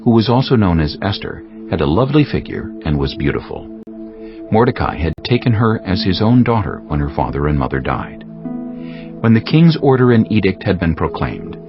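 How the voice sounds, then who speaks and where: Deep, expressive male scripture narrator. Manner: formal, deliberate storytelling. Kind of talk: scripted dramatized Bible narration. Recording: muffled, dull audio under music. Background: prominent background music.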